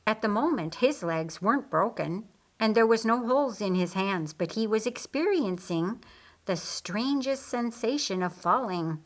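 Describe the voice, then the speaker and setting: Unhurried woman narrating an audiobook story. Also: mid-range voice, lively intonation.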